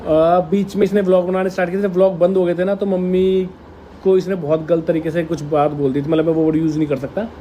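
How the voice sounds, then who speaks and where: Mellow-voiced man, slightly high. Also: little pitch movement, fast talker, highs cut off, slight background noise. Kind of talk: casual personal explanation to camera.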